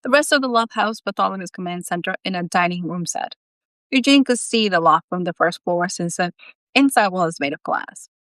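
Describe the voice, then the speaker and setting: A woman's voice: fairly low pitch, lively intonation, fairly quick, a bit bright, no room sound. A woman reading a story aloud as its author, in a live reading style with casual asides.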